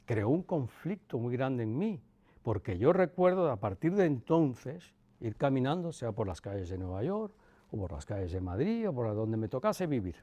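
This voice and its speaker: Reflective older man, softened Cuban accent. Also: mid-range voice, big pitch swings. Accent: Cuban softened by Castilian Spanish. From Cuba. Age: 60s, about 64. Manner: measured, pausing, emphatic repetition. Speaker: interviewee. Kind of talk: reflective personal reminiscence.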